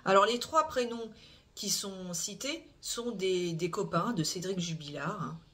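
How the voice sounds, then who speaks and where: A channel host recounting a criminal case to viewers in a measured, explanatory way, with frequent hesitations, filler sounds and restarts. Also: mid-range voice, pushed and bright. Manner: measured, explanatory delivery with tag "hein". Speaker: true-crime channel host.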